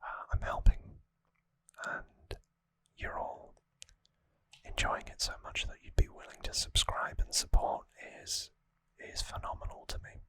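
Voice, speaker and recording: An ASMR host speaking in a breathy, unvoiced whisper, gentle and earnest, slightly rambling to viewers, close on the microphone. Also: unhurried. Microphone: close microphone in a dry space.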